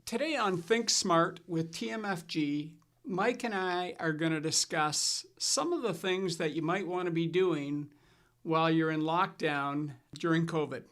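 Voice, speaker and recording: A middle-aged man hosting a financial advice show, telling stories in a relaxed way with fillers and restarts. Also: fairly high pitch, a little slow, soft background music. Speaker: financial advisor and show host. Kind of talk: casual scripted chat.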